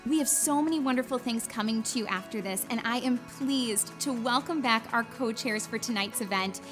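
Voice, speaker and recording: A woman's voice: fairly high pitch, normal speed, music in the background. A woman in her thirties hosting a fundraising auction in a chatty, encouraging way, urging people on. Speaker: auctioneer and gala host. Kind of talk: live fundraising auction presenting. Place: studio set.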